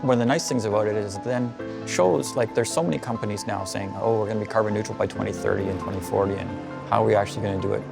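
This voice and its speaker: A man giving a documentary interview, explaining food waste consulting in a brisk, persuasive way. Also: lowish voice, vocal fry, loud music behind the voice.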